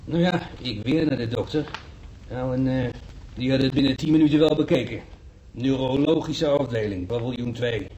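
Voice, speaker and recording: Male actor as folksy market stallholder, radio drama, halting, plaintive, mid-range voice, faint background noise. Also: medium pitch, dark tone, highs cut off, lightly acted. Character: grumbling, folksy male market trader in hospital. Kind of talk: Dutch radio drama acting.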